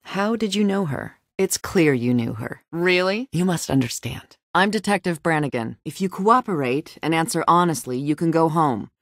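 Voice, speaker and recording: A low-pitched, stern female detective from a video game drama. She is firm and probing, with a dry sarcastic edge. The recording is close and dry. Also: expressive, normal speed, hardly any pauses, dry recording. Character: stern, persistent female police detective interrogating a suspect.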